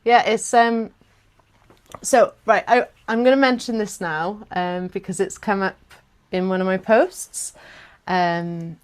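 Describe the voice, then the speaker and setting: A woman's voice: medium pitch, takes it slowly. A woman from South Wales with a South Welsh accent, chatting and laughing often as she leads a live online chant workshop over a video call.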